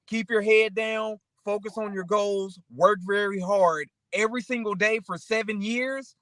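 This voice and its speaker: A man's voice: high-pitched, steady tone, a little slow, a bit bright, dry recording. A man chatting casually and motivationally as a talk show co-host, rambling through anecdotes and often checking in with the listener.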